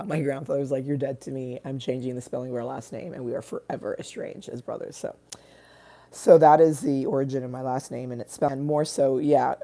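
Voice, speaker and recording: Artist host, woman, very deep and mellow voice, loose rambling livestream talk with frequent swearing. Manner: rambling, candid, swears often. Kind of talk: casual livestream chat.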